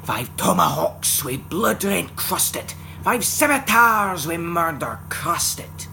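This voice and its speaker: A man with a Scottish accent giving a theatrical, expressive dramatic recitation of a narrative poem in Scots. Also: mid-range voice, background noise. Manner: theatrical, expressive, swinging from hushed to loud. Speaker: poetry reciter.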